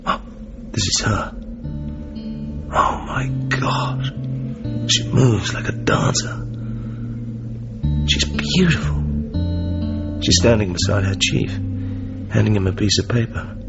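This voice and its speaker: An actor playing a suave, wry British secret agent with an English accent in a radio drama. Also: male voice, fairly low pitch, animated, slow pace, creaky, long pauses, heavily acted. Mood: hushed, intrigued. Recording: muffled radio drama with music.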